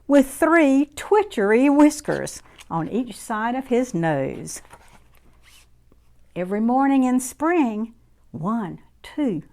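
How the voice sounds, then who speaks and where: Woman reading slowly, with lively pitch, to children. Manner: expressive, deliberate, sing-song phrasing. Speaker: children's story reader. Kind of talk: read-aloud storytime for young children.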